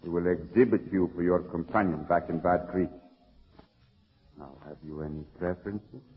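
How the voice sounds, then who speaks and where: An actor playing a menacing, mock-polite masked bandit in old-time radio melodrama, on a muffled recording. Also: male voice, low-pitched, moderate pace, lightly acted. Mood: mock-polite menace. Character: masked bandit, suave, sadistic, menacing male villain.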